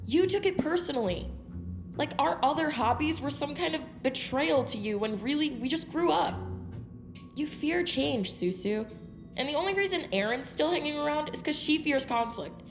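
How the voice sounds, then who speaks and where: A woman playing a sharp-tongued, confrontational teenage girl in an audio drama, mocking her friends with biting sarcasm. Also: sits high, expressive, normal speed, creaky. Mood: accusing. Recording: roomy echo with music underneath.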